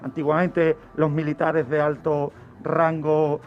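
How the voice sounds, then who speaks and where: A man tells true-crime history on radio in a didactic way, with dramatic pauses and rhetorical questions. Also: on the high side, completely flat delivery. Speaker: criminologist, radio segment contributor. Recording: dull lo-fi radio recording with background music.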